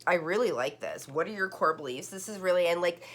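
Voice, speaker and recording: A woman's voice: lowish voice, expressive, fairly quick. A woman giving a casual online tarot reading, rambling and full of "like" fillers and restarts. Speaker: tarot card reader.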